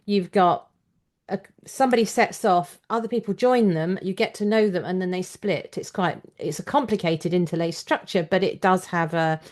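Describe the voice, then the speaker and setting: A woman's voice: lowish voice, normal speed, darkish tone. A woman hosting an interview podcast, talking conversationally and explaining her critical points in an analytical way. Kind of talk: conversational critical discussion of storytelling.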